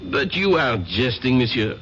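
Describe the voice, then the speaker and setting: An actor playing a dismissive French police inspector in a 1970s radio drama, speaking with a French accent and a fussy, halting manner. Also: male voice, mid-range voice, very animated, a bit bright. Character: pompous French police inspector, middle-aged man. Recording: dull old radio broadcast with faint hiss.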